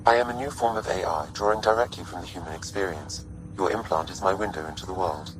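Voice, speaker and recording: Sci-fi game AI, male actor: low register, composed, formal, exact and helpful. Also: background noise, natural voice. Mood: calm, reassuring. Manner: measured, formal, precise. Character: calm, courteous sentient artificial intelligence aiding an explorer. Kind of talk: video game voice acting.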